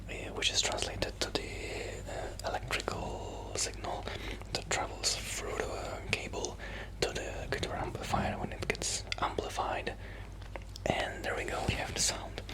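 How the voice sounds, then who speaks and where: A man playing a shy, modest musician friend in a hesitant, soft-spoken ASMR roleplay. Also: close to an everyday voice, rough recording. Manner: hesitant, halting, apologetic.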